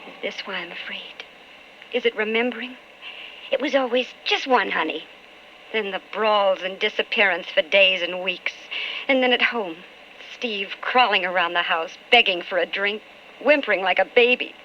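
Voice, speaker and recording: Actress as brooding wife, muffled old radio. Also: female voice, mid-range voice, moderate pace, sharp tone, a little hiss or hum, close to an everyday voice. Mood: anguished, brooding. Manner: dramatic, wistful. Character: anxious, brooding politician's wife, secretly in love with another. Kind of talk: old-time radio drama acting, with inner monologue.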